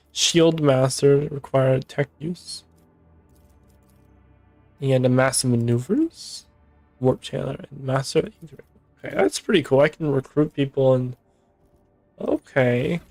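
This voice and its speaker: Hoarse, tired young male streamer. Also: mid-range voice, darkish tone, dry recording. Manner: rambling, thinking aloud. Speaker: game streamer. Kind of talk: casual live-stream commentary.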